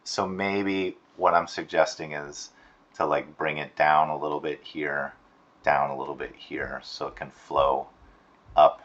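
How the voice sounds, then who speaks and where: A man giving a casual, encouraging critique as an animation instructor, softening his suggestions with tentative phrasing. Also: very deep voice, flat delivery, measured pace, highs cut off. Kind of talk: casual spoken critique of student work. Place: screen-recorded voice-over.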